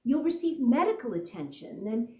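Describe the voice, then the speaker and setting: A loving, pleading woman, played by an actress in a 1940s radio melodrama. Her voice is high and swings widely in pitch, earnest and coaxing, with roomy echo around it. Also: sing-song, moderate pace, mellow. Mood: pleading, tender. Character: loving, pleading woman urging a fugitive to surrender. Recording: roomy, echoing stage sound.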